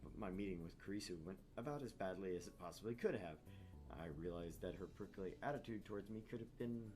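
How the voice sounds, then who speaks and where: A man's voice: deep voice, fast talker, a little mellow, soft background music. A man reading visual novel narration aloud as a gaming host, with casual asides.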